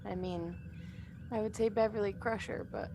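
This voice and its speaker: A woman's voice: medium pitch, steady tone, moderate pace, background noise. A woman in a casual group chat, reading and answering fan questions in a playful way.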